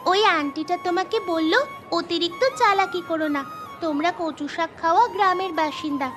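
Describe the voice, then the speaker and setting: Lady doing a clever gutsy cartoon schoolgirl, really high voice, music behind. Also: normal speed. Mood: spirited. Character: bold, clever village schoolgirl defending her mother. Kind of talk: cartoon story dialogue.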